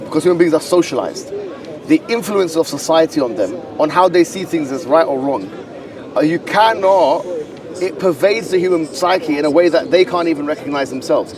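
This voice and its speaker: A man with a London accent arguing forcefully in an outdoor street debate, lecturing and insistent. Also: on the high side, animated. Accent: London English. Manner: argumentative, lecturing, quick and insistent. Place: outdoor public park with crowd. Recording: distant street recording with crowd around.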